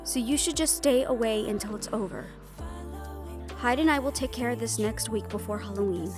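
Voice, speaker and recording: A woman's voice: a little high, normal speed, over music, lightly acted. A woman playing a protective, knowledgeable occult investigator in an audio drama, explaining things in a reassuring but firm manner. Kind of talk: audio roleplay acting.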